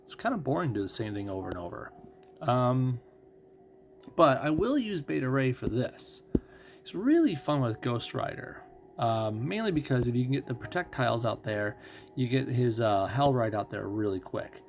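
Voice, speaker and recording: A man's voice: mid-range voice, sing-song, normal speed, slight background noise. A man doing casual live commentary as a game streamer, explaining things conversationally and thinking aloud.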